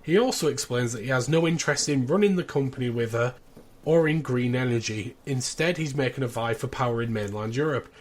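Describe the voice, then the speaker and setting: A man's voice: mid-range voice, brisk. A man in his thirties chatting casually and recapping as a podcast co-host.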